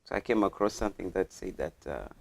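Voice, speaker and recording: Animated young man with swinging pitch, noisy background. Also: fast talker. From Congo. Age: young adult. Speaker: student guest on a talk show. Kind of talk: casual anecdote telling. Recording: clearly audible background noise.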